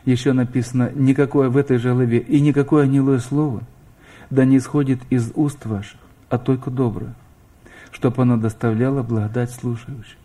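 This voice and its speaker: A man's voice: medium pitch, steady tone, moderate pace, dark tone. A middle-aged man preaching a sermon, speaking straight to his listeners and asking them questions. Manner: addresses listeners directly with questions, rising emphasis. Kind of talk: sermon to a congregation.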